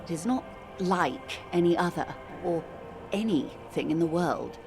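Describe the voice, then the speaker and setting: Low-voiced, worldly, composed woman. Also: lively intonation. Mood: grave, measured. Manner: measured, eloquent, calls the listener dear and darling. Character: worldly, composed older woman explaining cosmic dread, gently condescending. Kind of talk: dramatic video game dialogue. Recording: music playing under the voice.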